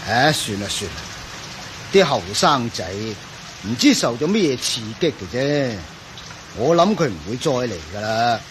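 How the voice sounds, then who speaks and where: An actor with a Hong Kong Cantonese accent playing a crafty, falsely genial older professor in a radio drama, sighing and condescending. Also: male voice, mid-range voice, very animated, unhurried, background noise. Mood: weary, dismissive.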